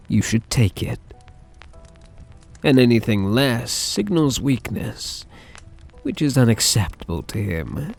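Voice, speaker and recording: A man playing a soft, lovesick young mafia heir in an ASMR roleplay, speaking in a hesitant, tender, halting way. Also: mid-range voice, creaky.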